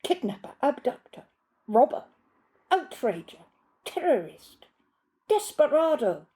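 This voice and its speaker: A woman's voice: high voice, sing-song, unhurried, mellow, pitched above the performer's own voice. A woman voicing a pompous, snappish male butler in a literary story reading, condescending and florid in speech. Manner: pompous, clipped, lecturing.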